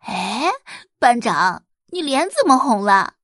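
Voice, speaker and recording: A woman voicing a rowdy, mischievous teenage classmate who teases loudly in an audio drama. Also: a little high, big pitch swings, takes it slowly, no echo, clean studio sound, full character voice. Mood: teasing. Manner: loud, mischievous.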